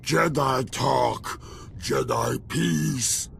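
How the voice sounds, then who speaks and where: A man voicing a brutish, primitive alien raider in a video game, barking short broken phrases in a gravelly voice. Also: mid-range voice, extremely slow, a bit bright, a little hiss or hum, full character voice. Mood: demanding, agitated. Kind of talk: video game character acting. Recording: game music behind voice.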